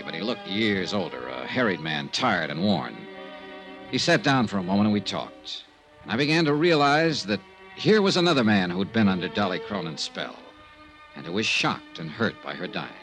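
A low male voice with lively swings in pitch, a world-weary detective in an old radio mystery. He is clipped and matter-of-fact, telling his case over background music. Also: rough recording. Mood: weary, reflective. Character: shrewd, world-weary male investigator who narrates his own case. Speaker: lead actor and narrator. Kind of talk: old time radio detective acting, part dialogue, part narration.

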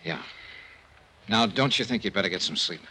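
An actor playing a world-weary, wry but kindly investigator in an old-time radio drama, terse and understated. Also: male voice, fairly low pitch, lively intonation, normal speed, pushed and bright, husky, exaggerated voice. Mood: gentle, soothing. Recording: faintly noisy old radio recording.

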